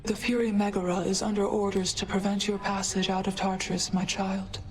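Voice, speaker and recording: A woman playing a calm, regal mother goddess in a video game, formal, measured and sorrowful. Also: medium pitch, lightly acted. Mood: grave, sorrowful.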